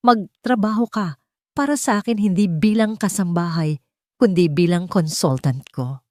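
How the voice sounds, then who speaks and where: A woman's voice: fairly low pitch, normal speed, darkish tone, no room sound, studio quality, lightly acted. A woman voicing a desperate, commanding millionaire boss in an audiobook, serious, weighty and insistent. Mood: urgent, pleading.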